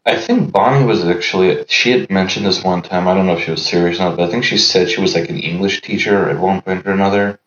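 A man in his thirties from Los Angeles, a podcast guest chatting casually and haltingly with many fillers. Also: sits low, a bit bright. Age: 30s. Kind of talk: casual chat. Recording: roomy, dull lo-fi sound.

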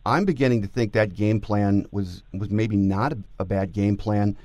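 An American man with a fairly low voice hosts a sports podcast. His talk is casual and conversational. The sound is dry, with very little room around it. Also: fairly even intonation, normal speed, a little mellow, dry recording. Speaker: podcast host.

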